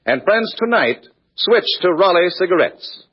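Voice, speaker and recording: A man announcing a scripted 1940s radio commercial in a measured, salesmanlike manner. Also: high-pitched, very animated, husky. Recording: muffled 1940s radio recording.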